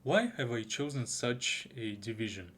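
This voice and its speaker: Slow, halting man, Russian native. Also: medium pitch, a bit bright, slightly rough. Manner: halting, with frequent restarts and fillers. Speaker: YouTuber. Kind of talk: casual unscripted anime review.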